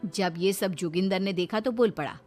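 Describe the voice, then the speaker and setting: A woman's voice: mid-range voice, moderate pace, darkish tone, faint music behind. A woman giving scripted narration for a Hindi cartoon story.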